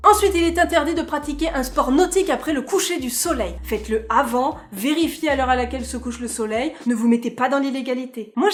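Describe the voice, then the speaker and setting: A young woman hosting a casual vlog, playful and chatty, with joking asides. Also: sits high. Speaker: vlogger host.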